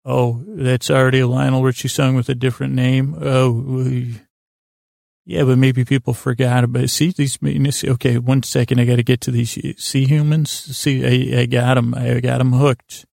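A man hosting a bedtime podcast in a gravelly, creaky voice, meandering and halting, often doubling back on himself. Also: mid-range voice, level tone, darkish tone, no room sound, clean studio sound. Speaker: podcast host. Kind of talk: rambling bedtime sleep podcast monologue.

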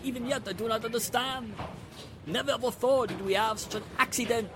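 An actor playing an anxious, apologetic French café manager with a French accent and formal, deferential manners, in a radio drama. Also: male voice, very high voice, a little fast, music in the background. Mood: distressed, formal. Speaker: restaurant manager character.

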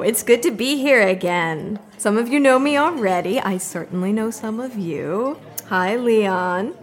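Chatty, creaky-voiced American open-mic monologist. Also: female voice, medium pitch. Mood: playful. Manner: casual, rambling, self-deprecating. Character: chatty, self-deprecating Somerville woman chasing fame. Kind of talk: open mic comic monologue in an audio drama.

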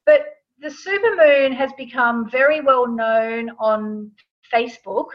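A woman with a somewhat high-pitched voice explains things as a guest in a casual interview. Her delivery is informal and conversational. The recording is a little dull and lo-fi. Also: unhurried. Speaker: astrology author, interview guest.

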